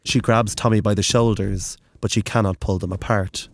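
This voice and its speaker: A narrator reading dark, literary horror fiction in a deliberate, intense and dramatic way. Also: low voice, steady tone.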